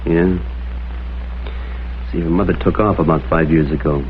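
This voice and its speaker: An actor playing a hard-boiled American private detective, dry and terse, in a vintage crime drama. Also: male voice, a bass voice, steady tone, normal speed, a little hiss or hum. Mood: grave, pointed. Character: hard-boiled male private detective, wry, probing, coolly persistent. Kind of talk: mid-century American crime drama acting and narration. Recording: tinny vintage soundtrack, faint music behind.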